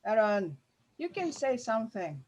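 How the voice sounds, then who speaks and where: A woman presenting a workshop over a video call, halting and using many fillers. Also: on the high side, very animated, darkish tone. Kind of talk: online meeting presentation.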